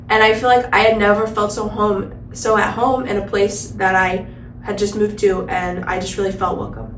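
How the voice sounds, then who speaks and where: Teen girl ambassador, earnest casual answers, distant roomy sound. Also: medium pitch. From Central Indiana. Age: teens. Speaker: student ambassador. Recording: roomy, off-mic with faint noise.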